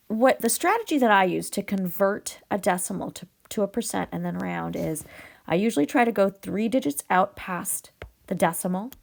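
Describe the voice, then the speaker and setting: A woman teacher explaining a math problem step by step, her voice raspy from a cold. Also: medium pitch. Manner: upbeat, patient explaining with fillers. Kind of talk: video math lesson.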